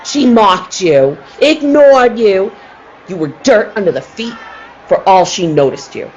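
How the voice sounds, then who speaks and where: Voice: medium pitch, sing-song, a little slow, darkish tone. Someone playing a defiant circus woman, muffled and noisy. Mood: scornful. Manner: dramatic, defiant. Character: cornered, jealous female circus animal trainer, defiant and menacing. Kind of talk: radio play acting.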